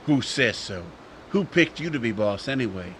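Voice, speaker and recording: Actor as a sharp, hot-tempered vengeful cowboy. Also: male voice, medium pitch, lively intonation, moderate pace, a little hiss or hum, lightly acted. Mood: defiant, impatient. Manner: belligerent, impatient. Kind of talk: old-time radio western acting.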